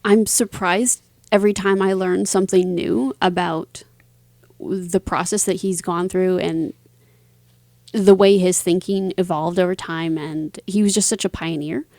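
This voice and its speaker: A woman's voice: on the low side, steady tone, unhurried, a little mellow, no echo, clean studio sound. A woman talking casually as an interview guest, explaining things thoughtfully in long linked phrases. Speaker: interview guest, singer, author and voice actor.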